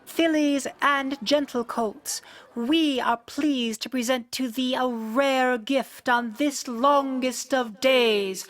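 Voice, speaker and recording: A woman playing an earnest young pony princess of the night in a radio drama, declaiming grandly in archaic royal speech. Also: high voice. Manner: grand, formal, drawn-out declaiming. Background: music behind the voice.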